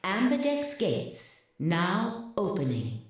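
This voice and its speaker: A woman playing an impassive announcement system in a video game, measured, polite and detached, with echoing reverb. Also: mid-range voice, wildly swooping pitch. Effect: echoing public-address reverb. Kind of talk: formal scripted announcement.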